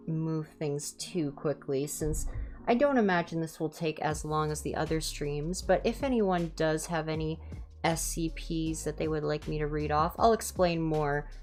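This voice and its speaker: A woman hosting a livestream, chatting casually in ASMR style with a low-key, rambling, self-deprecating manner. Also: a little mellow. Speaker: livestream host and reader.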